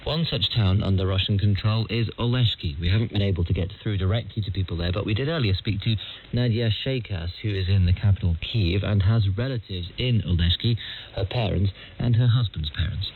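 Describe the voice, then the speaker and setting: Deep-voiced newsman on narrow, crackly shortwave. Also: a little fast. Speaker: radio news presenter and interviewer. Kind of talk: broadcast news interview. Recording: muffled shortwave radio broadcast with static. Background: static and hiss.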